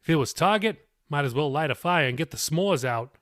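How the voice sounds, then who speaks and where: A man's voice: on the high side, lively intonation, fairly quick, fairly bright tone, no room sound, studio quality. A man voicing a genial, joking middle-aged dad in an audiobook. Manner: folksy, sheepish humor. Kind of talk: audiobook character dialogue.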